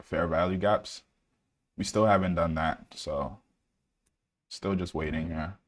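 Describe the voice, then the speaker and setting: Deep-voiced laid-back young man. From Ghana. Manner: relaxed, laid-back, many fillers, reads out chat questions. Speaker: day trader streaming live. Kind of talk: casual live-stream chat.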